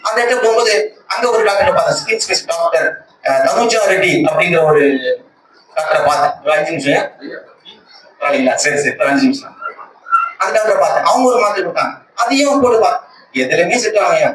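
A man's voice: high voice, animated, fast talker, long pauses, a crowd in the background. A man with a Tamil Nadu accent gives an earnest, emphatic religious testimony to a congregation, repeating phrases to stress them. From Tamil Nadu, India. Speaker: church member giving a testimony, lay speaker. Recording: echoey, distant conference call with loud noise.

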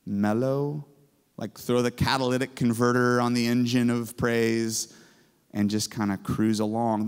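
A middle-aged man holds a handheld mic and preaches to a campus chapel with an excitable, booming delivery. He tells stories with plenty of energy. His voice sits at an ordinary pitch and rings out with long hall reverb. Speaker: chapel speaker, professor. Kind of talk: energetic chapel sermon with storytelling. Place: large chapel stage.

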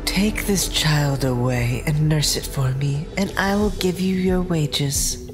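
A woman playing an earnest, composed woman of ancient times in a dramatized scripture reading, with measured delivery. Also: sits low, music in the background.

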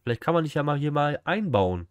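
A man's voice: fairly high pitch, sing-song, fairly quick, smooth, no echo, highs cut off. Man, 30s, livestream reactor; casual, slangy, direct to chat, dry room sound. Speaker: streamer reacting to a flea market video. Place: small indoor room, streaming setup.

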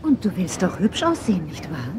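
An actress playing a gentle, caring old grandmother dinosaur in a children's cartoon audio drama. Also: lowish voice, very animated, brisk, noisy background.

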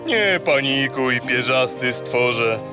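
An actor playing a gruff, boastful sea god who guides and teases like a showman in a children's audio drama. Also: medium pitch, lively intonation, slow pace, music in the background. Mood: bossy, teasing.

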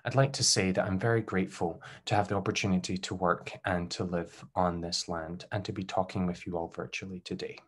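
A young Scottish man giving a recorded conference talk over a webcam, measured and careful, with frequent um fillers. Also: deep voice, little pitch movement, breathy edge. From Scotland. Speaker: doctoral researcher presenting.

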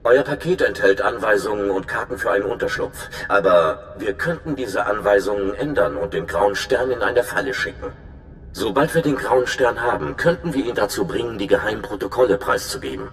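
Ein Schauspieler als ernster, berechnender Informant und Spion in einem Videospiel, der eindringlich erklärt. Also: male voice, on the low side, expressive, brisk, fairly bright tone. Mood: scheming. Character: earnest, scheming male spy informant. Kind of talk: dramatic video game dialogue in German. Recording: echoey, noisy hologram transmission.

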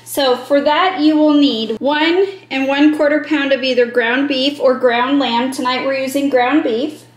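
A woman's voice: high-pitched, steady tone, measured pace, few pauses, low-quality recording. A woman in her thirties hosting a home cooking vlog, talking step by step through a recipe to a handheld camera in her kitchen. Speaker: vlog host. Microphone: handheld camera close to face.

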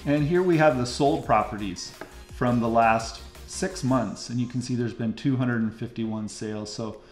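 A middle-aged man with an American accent talks casually, like a local host showing someone around on screen. His pitch sits in an ordinary male middle range. The room adds noticeable echo, and faint music plays behind him. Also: normal speed. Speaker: real estate agent host. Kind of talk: casual map-tour commentary. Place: indoor room, screen recording with webcam.

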